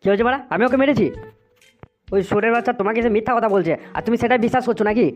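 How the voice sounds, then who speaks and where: A woman as a grumpy, fast-ranting cartoon dad. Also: fairly high pitch, a little mellow, close to an everyday voice. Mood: resentful, grumbling. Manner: irritable, cursing, scolding. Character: grumpy, abusive, lazy cartoon father. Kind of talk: comedy cartoon dubbing. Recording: muffled lo-fi audio with music.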